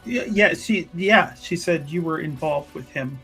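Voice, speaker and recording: A woman playing an inquisitive, easily astonished official in an improvised tabletop roleplay, speaking haltingly and dramatically. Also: low voice, expressive, creaky, a bit bright, slightly rough, faint music behind, full character voice, rough recording. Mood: probing. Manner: halting, dramatic, repeats words for emphasis.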